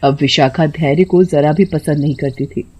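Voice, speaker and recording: A woman's voice: low-pitched, steady tone, moderate pace, dark tone, husky. A woman reading a scripted story as its narrator.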